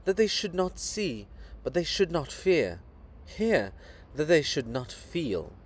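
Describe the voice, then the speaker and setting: A man's voice: fairly high pitch, sing-song, slow, heavily acted. A man playing the statue of an old fatherly poet, declamatory and anguished, in a dramatic reading. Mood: ranting, indignant. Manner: declamatory, rhetorical. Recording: clearly noisy background.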